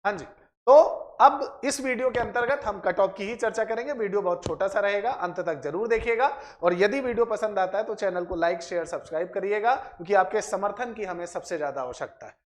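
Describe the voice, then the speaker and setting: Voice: medium pitch, brisk. A teacher explains things to students, repeating points and checking in with short tag questions. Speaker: exam-prep teacher and channel host.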